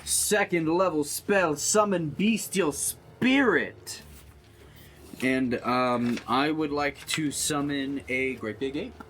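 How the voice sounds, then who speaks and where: A man's voice: on the high side, slow pace, fairly bright tone. A man playing a tabletop game, chatting casually and thinking aloud with some hesitation. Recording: music faintly behind the voice. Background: background music.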